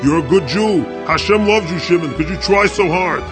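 A man's voice: sits high, animated, normal speed, music in the background. A man voicing a kindly middle-aged father who talks in a hearty, reassuring way.

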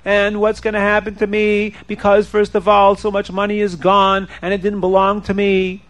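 Casting: man playing agitated buyer in a told folk tale, high-voiced, wronged, yelling and repeating himself. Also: monotone, moderate pace. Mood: despairing. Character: frantic, wronged travelling buyer, shrill accuser.

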